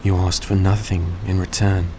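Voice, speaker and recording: A man playing a suave, tender centuries-old vampire in a roleplay audio drama, speaking in a soft, coaxing, genteel way to the listener. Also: deep voice, normal speed, lightly acted. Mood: earnest, grateful. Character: suave, gracious centuries-old male vampire, young-looking, tender and grateful. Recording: steady background noise, vehicle-like ambience.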